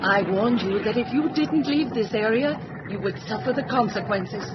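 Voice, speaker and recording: An actor playing a calm, superior alien visitor who speaks in a measured, formal, lecturing manner in a radio drama. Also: lightly acted. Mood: calm, ominous. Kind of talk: old-time radio drama acting. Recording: muffled radio recording with loud engine rumble.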